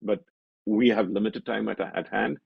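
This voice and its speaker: A man with an Indian accent hosting an interview in a conversational, somewhat halting and roundabout way. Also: fairly low pitch, fairly even intonation, gravelly, breathy edge, no echo, phone-quality sound. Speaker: interviewer, event host.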